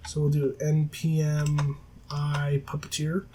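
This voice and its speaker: A man with a cold-roughened raspy voice, teaching a coding tutorial over a screen recording with many filler sounds and self-corrections. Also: a little high, monotone, takes it slowly, dark tone, a little hiss or hum. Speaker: programming tutor. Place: at a desk, voice-over of screen capture. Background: faint keyboard typing.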